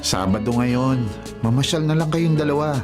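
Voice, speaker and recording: A man's voice: medium pitch, moderate pace, over music, close to an everyday voice. A man playing an easygoing, teasing young adult older brother in a Filipino radio drama, with a Filipino accent. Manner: breezy, casual, ribbing.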